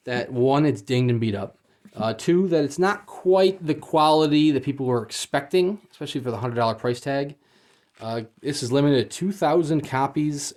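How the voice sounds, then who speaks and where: A man's voice: fairly high pitch, darkish tone. A man giving a casual, unscripted unboxing review as he goes, in a hesitant, understated and mildly let-down manner.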